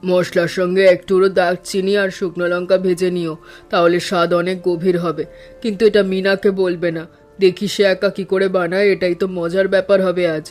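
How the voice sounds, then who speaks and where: A man's voice: high voice, little pitch movement, a little fast, a little mellow, soft background music, lightly acted. Man voicing a wise old mother-in-law. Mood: gentle, advising. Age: older. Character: calm elderly mother-in-law, gentle and wise. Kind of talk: cartoon voice acting.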